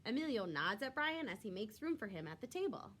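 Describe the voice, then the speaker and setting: A woman reads a picture book to children in an expressive, teacherly way. Her voice is fairly high and bright. Her intonation is very lively as she phrases each part of the story clearly. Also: fairly quick.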